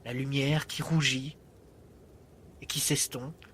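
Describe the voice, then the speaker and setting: A man performing a poetic monologue as a storyteller, theatrical and moving between hushed and intense delivery. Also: mid-range voice, flat delivery, slow pace, a little hiss or hum. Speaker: writer and storyteller.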